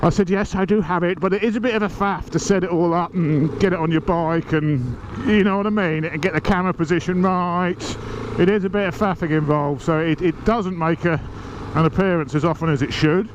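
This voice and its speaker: A man's voice: high-pitched, brisk, dull audio. A man talking casually while riding a motorbike, chatty and cheeky with the odd swear word, snuffly from hay fever. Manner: chatty, cheeky and self-mocking, swearing now and then. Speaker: motorcycle vlogger. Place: riding a motorbike outdoors on urban roads. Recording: loud wind and traffic noise.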